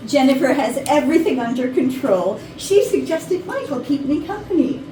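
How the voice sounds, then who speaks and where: A woman's voice: high-pitched, very animated, normal speed. A woman playing a sweet, plaintive and flirty wife of a company boss in a staged comedy reading. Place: rehearsal room. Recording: echoey room, distant mic, faint noise.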